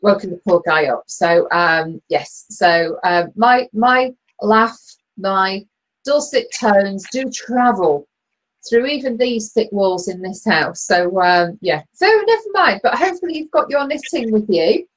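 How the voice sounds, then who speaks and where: A middle-aged woman co-hosting an online knitting chat over a video call, chatty and laughing often, with a loud booming voice. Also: lively intonation, unhurried, low-quality recording. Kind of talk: casual chat. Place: bedroom at home, knitting. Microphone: laptop webcam on video call.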